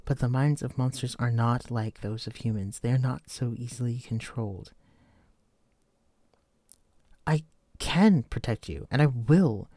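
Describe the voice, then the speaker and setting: A wary, protective escapee with uncanny mental gifts, acted by a man in an immersive horror audio drama. He speaks straight to his companion in a medium-pitched male voice. He stays watchful and guarding. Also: steady tone, normal speed, hardly any pauses, darkish tone, dry recording, natural voice. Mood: relieved, earnest. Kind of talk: immersive roleplay audio drama, addressing the listener.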